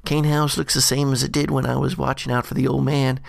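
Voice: medium pitch, flat delivery, fairly quick, gravelly, dry recording, clean studio sound. A performer voicing a scrappy, slangy adventurer who mutters and curses through a jittery diary monologue. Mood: cheeky, chatty. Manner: slangy, cursing, self-interrupting monologue. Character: scrappy slangy jittery adventurer Skeen recounting a bad day. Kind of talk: in-character audio diary monologue.

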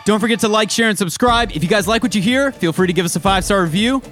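An upbeat male podcast host with a fairly high voice, chatting casually with his guest. He throws in slang and keeps the talk loose and friendly, with faint music under him. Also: quick pace, fairly bright tone. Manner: upbeat, chatty, uses slang like bro and dude.

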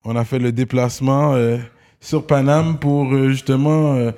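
A man with a Quebec French accent hosting a casual rap interview, slangy and relaxed, stretching his words for emphasis. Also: medium pitch, unhurried. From Quebec, Canada. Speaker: host.

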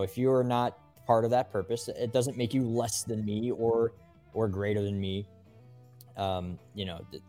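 A man with a fairly low voice chats easily as he co-hosts a relaxed discussion podcast. Faint music runs softly behind him. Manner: easygoing, conversational. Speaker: podcast co-host. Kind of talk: casual religious discussion.

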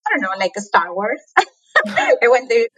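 A woman's voice: on the high side, wildly swooping pitch, moderate pace, fairly bright tone. A woman from Colombia with a Colombian accent, a native Spanish speaker, talking reflectively as an interview guest and laughing often. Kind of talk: conversational interview.

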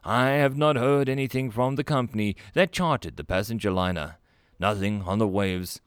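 A man voicing a nervous, furry alien male who speaks formally and haltingly. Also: fairly low pitch, clean tone, no echo, clean studio sound, lightly acted. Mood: distraught.

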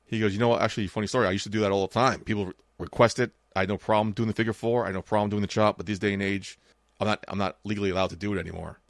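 A man in his late thirties talks fast and casually on a podcast, swearing as he tells stories. His voice sits a little low and sounds dry, with no room around it. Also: fairly even intonation, dry recording. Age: 30s. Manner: swears freely, tells anecdotes with quoted dialogue. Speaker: podcast co-host. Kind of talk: casual chat.

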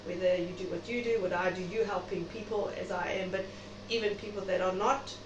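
A woman's voice: lowish voice, steady tone, fairly quick, dull audio. A middle-aged South African woman speaking reflectively and chattily as a guest in an interview. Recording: noisy, echoey room, distant mic.

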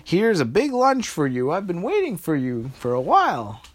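A man's voice: on the high side, huge pitch swings, moderate pace, pitched above the performer's own voice. A man voicing a cheerful little boy prince.

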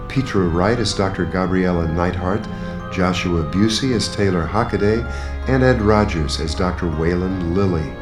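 A man reading scripted closing credits as announcer of a radio drama. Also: low-pitched. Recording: roomy sound under loud guitar music. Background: guitar theme music.

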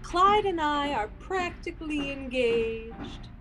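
A woman's voice: high voice, animated, takes it slowly, over music. A woman playing a lovesick, anxious, clinging young woman with a hesitant, fervent manner in a classic radio suspense play. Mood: dreamy, devoted. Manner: hesitant, repeating words, fervent.

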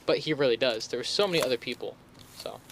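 A man's voice: medium pitch, quick pace. Slangy man over noticeable background noise. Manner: informal, slangy, swears casually. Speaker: podcast host. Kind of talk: casual movie chat.

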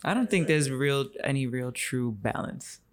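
A man co-hosting a casual podcast chat, easygoing and halting as he talks. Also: medium pitch, lively intonation. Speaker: podcast co-host.

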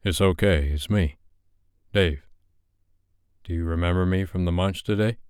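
A man voicing a calm, patient young man who speaks in a soft, coaxing way. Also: deep voice, a little slow, clean tone, dry recording, clean studio sound. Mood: reassuring.

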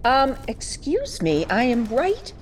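A woman's voice: sits high, huge pitch swings, takes it slowly, a little hiss or hum, pitched above the performer's own voice, exaggerated voice. A woman playing a smitten, indignant mother in an improvised audio drama scene. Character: smitten, indignant mother scolding her daughter.

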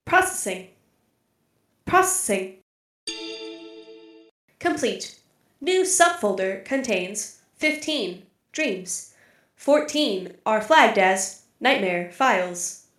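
A woman playing a literal-minded female AI archive computer in an audio drama, speaking in a flat, formal, deliberate way. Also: medium pitch, roomy sound, soft background music. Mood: impassive. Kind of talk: scripted audio drama acting.

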